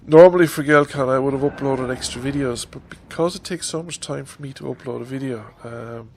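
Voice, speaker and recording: A man's voice: medium pitch, fairly quick, a little mellow, a little hiss or hum. A man in his fifties with a stuffy nose from a head cold, rambling hesitantly with many ums in a casual vlog update at his desk microphone. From Ireland. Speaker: YouTuber. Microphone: desk microphone on a stand.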